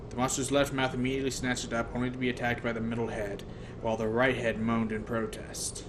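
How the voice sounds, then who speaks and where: A male audiobook reader with a mid-pitched voice narrates a novel in the first person. He sometimes trips and rereads phrases, and there is noticeable noise on the recording. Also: monotone, fast talker.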